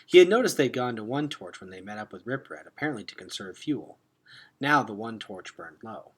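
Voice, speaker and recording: A man reading a children's adventure novel aloud like a teacher, expressive and dropping into hushed tones for suspense. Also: fairly low pitch, a little fast, slightly rough. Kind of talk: dramatic read-aloud narration of a children's novel.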